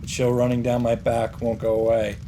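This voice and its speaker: A man reading visual novel narration aloud as a let's player, with deliberate dramatic pauses. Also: mid-range voice, monotone, dark tone. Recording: game sound and noise behind.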